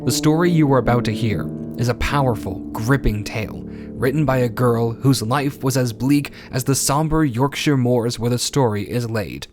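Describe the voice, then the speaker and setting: A man's voice: mid-range voice, normal speed. A man announcing and narrating a radio drama in a solemn, theatrical, scripted style. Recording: loud music behind voice. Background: loud music.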